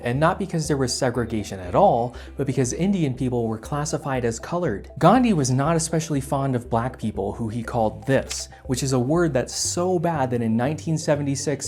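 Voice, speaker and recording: A man's voice: mid-range voice, darkish tone. A man narrating a scripted video essay with sarcastic, wry asides.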